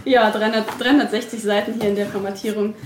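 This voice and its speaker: A woman's voice: mid-range voice, steady tone, quick pace. A woman chatting casually as a publishing staff member being interviewed in an office. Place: indoors in an office. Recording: echoey room, faint background noise.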